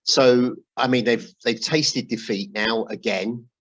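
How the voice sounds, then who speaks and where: A man's voice: mid-range voice, steady tone, slow, fairly bright tone, lo-fi sound. A man hosting a casual football discussion, talking conversationally and putting questions to his co-hosts. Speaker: podcast host.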